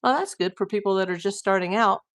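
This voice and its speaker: Woman streamer, tired strained voice, chatty, mid-pitched. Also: fast talker, fairly bright tone. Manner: chatty, reads viewer comments aloud. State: losing her voice. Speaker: livestream host. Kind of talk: casual livestream chat reading comments.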